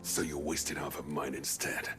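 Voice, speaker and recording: A male actor playing a proud, forthright townsman in a video game, speaking in a forceful manner. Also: a bass voice, level tone, normal speed. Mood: bitter, angry. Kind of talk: dramatic game acting. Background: music.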